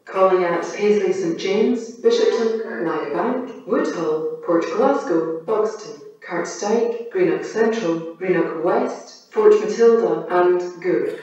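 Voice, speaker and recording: A woman's voice rings out over a station loudspeaker with heavy echo and long reverb, sounding far from the microphone. She reads formal automated train announcements slowly, in measured, formulaic phrases. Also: fairly low pitch, dull audio, faint music behind. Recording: echoey station PA, long reverb, distant mic.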